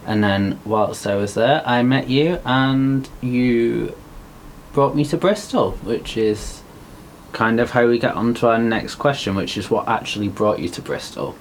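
A young man, co-hosting a podcast and talking slowly with many ums and likes. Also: mid-range voice, a little hiss or hum. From Wigan, England. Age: young adult. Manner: slow, thoughtful, filler-heavy. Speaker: podcast co-host. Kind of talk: casual chat.

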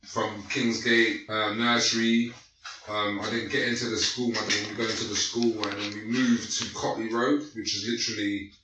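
A man's voice: fairly low pitch, little pitch movement, normal speed, distant mic, noisy background. A man in his late thirties with a London accent, telling his life story in loose, slangy casual chat full of fillers. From North West London, England. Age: late 30s. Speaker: guest. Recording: echoey room, away from the mic.

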